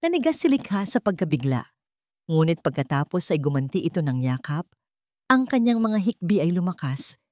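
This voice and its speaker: A woman's voice: fairly low pitch, dark tone, no echo, studio quality. A woman with a Filipino accent narrating a scripted dramatic story.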